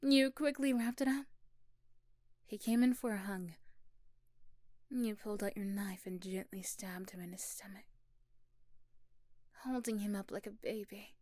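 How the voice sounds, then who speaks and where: Female ASMR storyteller, soft and hushed with an unhurried pace, intimate dry close-mic sound. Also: medium pitch, steady tone, no room sound. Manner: soft, hushed, often slipping into whispers. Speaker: ASMR roleplay narrator. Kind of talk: scripted second-person story narration, ASMR. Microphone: close, dry microphone.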